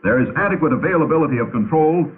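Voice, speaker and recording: An American man narrating a 1950s training film in a measured, authoritative, instructive manner, on an old film soundtrack. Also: a little high, lively intonation, narrow, tinny audio, a little hiss or hum. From United States.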